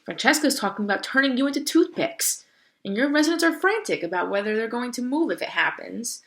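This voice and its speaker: A woman's voice: fairly high pitch, animated, brisk, voice pushed up. A woman voicing a sassy, skeptical female crow in a read-aloud story, blunt and teasing in a casual way. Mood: exasperated.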